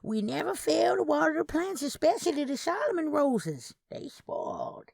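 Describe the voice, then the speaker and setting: A woman's voice: high voice, wildly swooping pitch, normal speed, vocal fry, darkish tone, no echo, studio quality, pitched above the performer's own voice, heavily acted. A woman voicing a dainty old Southern aunt in a story, speaking coyly and delicately. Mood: protesting. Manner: coy, delicate.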